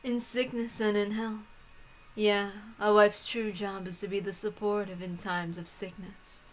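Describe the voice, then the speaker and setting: A woman voicing a cruel witch of miracles for a story reading, mock-tender and sneering in a deliberate way. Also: medium pitch, flat delivery, a little hiss or hum, voice pushed down, lightly acted, low-quality recording. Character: cruel mocking female witch of miracles, smugly sweet.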